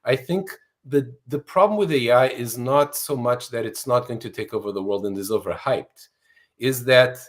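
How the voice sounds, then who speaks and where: A man's voice: medium pitch, normal speed. A man in his sixties from Portugal, an author answering interview questions in an earnest, explanatory way. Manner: earnest, explanatory, fluent with some restarts. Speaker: author and guest.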